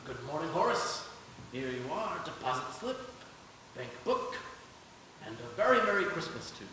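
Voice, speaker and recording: A man playing a scatterbrained, excitable old uncle in a live radio play, recorded in an echoey hall. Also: a little high, normal speed. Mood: jovial taunting. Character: scatterbrained, taunting, easily panicked old uncle. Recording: echoey hall from far off, faint hiss.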